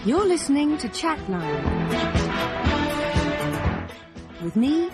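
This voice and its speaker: An actress playing a chirpy radio chat show presenter with a presenter's lilt. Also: female voice, high-pitched, sing-song, drawn-out words, exaggerated voice. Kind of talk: radio presenting within a drama. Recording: radio broadcast under loud music.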